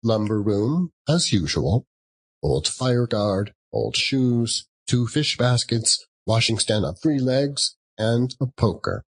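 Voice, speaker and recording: A man narrating a classic story as an audiobook, theatrical, with dramatic and deliberate phrasing. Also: mid-range voice, lively intonation, breathy edge.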